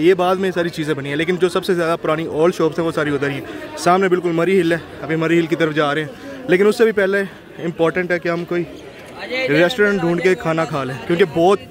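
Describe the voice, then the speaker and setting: A man's voice: sits high, fairly even intonation, fairly quick. Chatty man touring a busy street. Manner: chatty, pointing things out while walking. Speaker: travel vlogger. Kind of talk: casual walking tour vlog. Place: busy hill-town street outdoors. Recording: crowd noise around the speaker. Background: crowd of passers-by.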